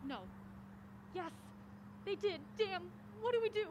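A woman's voice: very high voice, animated, measured pace, very noisy. A woman playing a mild-mannered but exasperated young film buff in an audio drama, anxious in manner. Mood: panicked.

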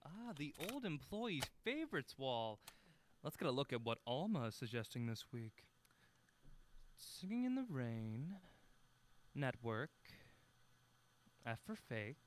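An actor playing a playful young film fanatic in an audio drama, bantering and mock-formal. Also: male voice, mid-range voice, very animated, takes it slowly, long pauses.

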